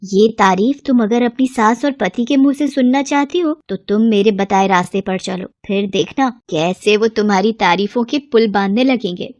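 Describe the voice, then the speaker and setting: A woman voicing a scheming, flattering wife with a persuasive, smooth-talking manner in a cartoon story. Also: mid-range voice, moderate pace, hardly any pauses, dry recording, lightly acted. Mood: sweetly flattering. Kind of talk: Hindi moral story cartoon acting.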